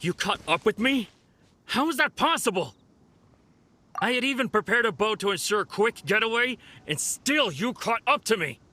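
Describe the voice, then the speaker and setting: A man's voice: sits high, animated, normal speed, pushed and bright, heavily acted. An actor playing a panicky, cornered male thief in a video game, talking in a flustered, indignant way. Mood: panicked.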